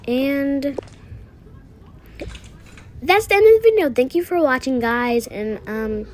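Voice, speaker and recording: A woman's voice: high voice, lively intonation, takes it slowly, a little mellow, a little hiss or hum. A woman casually improvising narration for a toy-app roleplay video, thinking aloud through each small action. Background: music.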